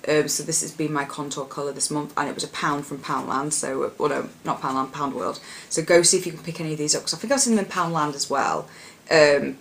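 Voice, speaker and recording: A young woman with a husky voice, chatting casually about her favourite products in a rambling, filler-heavy way. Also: sits low, slight background noise. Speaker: beauty vlogger. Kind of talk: casual product chat to camera.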